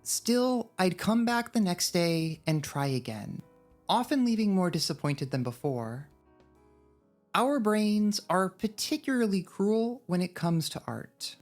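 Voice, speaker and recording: A woman's voice: lowish voice, lively intonation, unhurried, a little mellow, soft background music. A woman narrating a scripted video essay in a wry, drily humorous manner. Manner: wry, dry humour.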